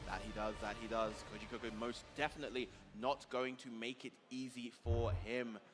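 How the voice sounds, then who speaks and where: A man's voice: mid-range voice, steady tone, brisk. A man doing live esports commentary at a tournament, speaking effusively and full of superlatives. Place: event stage with audience, heard through a stream.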